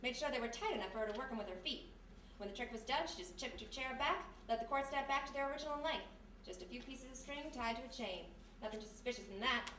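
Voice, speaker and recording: A woman with an American accent playing a sassy, quick-witted girl Friday in a radio mystery, brash and slangy. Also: fairly high pitch, expressive, a bit bright. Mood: shrewd. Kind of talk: live 1940s-style radio mystery acting. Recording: noisy echoey hall, distant stage mic.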